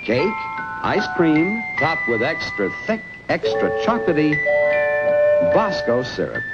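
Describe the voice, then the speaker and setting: Animated man in a dull vintage ad recording. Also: fairly high pitch, a little slow. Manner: exaggerated, enthusiastic selling to children. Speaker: commercial announcer. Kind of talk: vintage children's TV advertisement pitch. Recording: dull lo-fi vintage ad, music behind.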